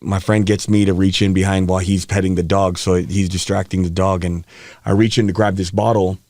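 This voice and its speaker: A man around thirty who grew up in Hawaii, a podcast guest telling stories from his life in a relaxed way, laughing often and getting animated as the story builds. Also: sits low, fairly quick, no echo. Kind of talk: candid storytelling interview. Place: podcast studio. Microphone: studio mic.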